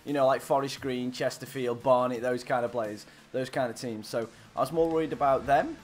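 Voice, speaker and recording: A scratchy-throated man talks viewers through his game live, excitable and chatty. His voice sits at a middling pitch, with faint music underneath. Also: brisk. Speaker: game streamer. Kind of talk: live play-by-play commentary while gaming.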